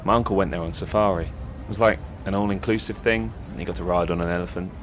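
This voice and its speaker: Male actor as naive, deadpan young man, satirical audio drama; deep voice, street noise behind. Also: fast, lightly acted. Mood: earnestly sure. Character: dim, credulous, laid-back young man.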